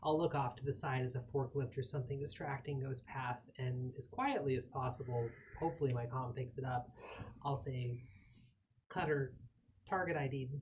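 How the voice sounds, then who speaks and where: Mid-pitched man playing tabletop roleplay. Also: steady tone, normal speed, slight background noise, low-quality recording. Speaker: tabletop game player. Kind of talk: casual roleplay description.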